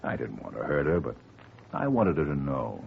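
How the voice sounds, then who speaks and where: A man playing a smooth middle-aged lawyer in a 1950 radio drama, genial and chatty, quietly scheming. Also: a bass voice, very animated, normal speed, exaggerated voice. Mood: tender. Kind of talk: 1940s radio acting. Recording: tinny faint-hiss old radio recording.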